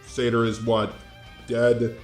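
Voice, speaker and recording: A man hosts a scripted commentary video in an informal, blunt style, addressing his audience directly. His medium-pitched voice has a roomy echo, and music plays faintly beneath it. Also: completely flat delivery, takes it slowly. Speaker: host of online drama commentary videos.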